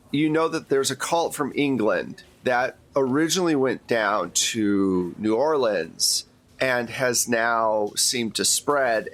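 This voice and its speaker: A man's voice: fairly low pitch, a bit bright. A man working as game master, recapping and narrating a tabletop game in an informal, conversational way.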